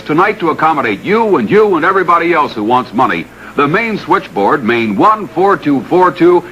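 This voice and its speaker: A man's voice: fairly high pitch, bright, forceful tone, lo-fi sound. An American man reading a scripted 1960s radio commercial with an emphatic, salesy delivery. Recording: old radio broadcast with faint noise.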